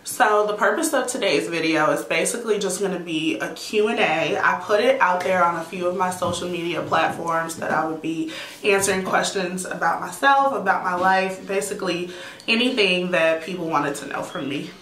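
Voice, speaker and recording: A woman in her thirties answering viewer questions in a casual vlog, chatty and relaxed with many "um"s and "like"s. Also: on the low side, normal speed, reverberant, rough recording. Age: 30s. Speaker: YouTuber, teacher. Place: indoor room at home.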